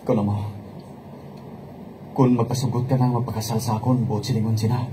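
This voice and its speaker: A man's voice: medium pitch, level tone, brisk, noisy background, natural voice. A man playing a tender, insecure young suitor in a radio romance drama, hesitant and sincere, with a Hiligaynon Filipino accent. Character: tender, insecure wealthy young suitor seeking true love.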